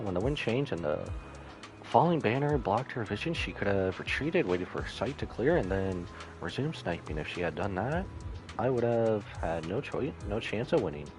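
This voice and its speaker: A man streaming a game, reading story text aloud and commenting, sometimes stumbling and correcting himself. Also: lowish voice. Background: game music.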